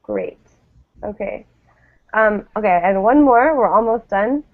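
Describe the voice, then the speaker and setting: A woman's voice: mid-range voice, expressive, a little slow, narrow, tinny audio. A woman teaching English pronunciation in a live online lesson, encouraging and carefully enunciating model words over thin call audio. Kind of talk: live online lesson, drilling word pairs.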